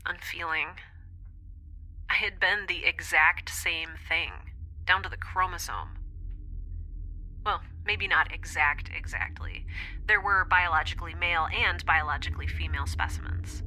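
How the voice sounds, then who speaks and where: Woman acting young scientist lady doing an anxious audio diary, very bright crisp-edged voice, kinda low, faint noise behind. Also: rough recording. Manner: hesitant, confessional, thinking aloud. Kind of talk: intimate audio drama monologue.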